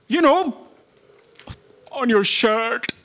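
A middle-aged man playing a bossy, melodramatic colleague on a frantic quest, theatrical and halting, in a staged comic audio drama. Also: extremely high pitch, expressive, full character voice. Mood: puzzled, deliberate. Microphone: microphone on a stand. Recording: noisy live stage recording.

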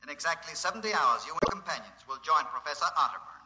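A man's voice: a little high, expressive, noisy background. A male actor playing a mock-courteous, coolly menacing villain over an intercom in a 1950s radio drama. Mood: smug, formal. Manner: formal, unhurried, mock-courteous. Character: smooth, coolly menacing villain, a conspirator revealing his trap.

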